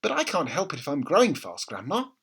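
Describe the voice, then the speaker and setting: Man acting young boy, storybook hero, meek, earnest, raised swooping pitch, timid protest. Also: wildly swooping pitch, brisk, creaky, fairly bright tone, husky, full character voice. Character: meek, polite eight-year-old farm boy.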